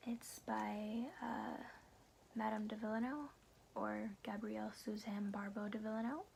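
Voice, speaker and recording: A woman speaking in a soft, breathy whisper in an informal, unhurried ASMR style, as host of a read-aloud series. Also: medium pitch, little pitch movement, a little hiss or hum. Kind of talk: whispered ASMR, casual rambling chat and storybook reading.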